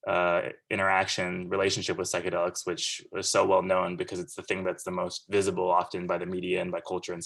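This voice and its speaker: A man in his thirties speaks in a low, gravelly voice with a fairly flat, even delivery. He talks in an earnest, conversational and rambling way, giving a guest lecture over a video call. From Central California, USA. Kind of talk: casual lecture.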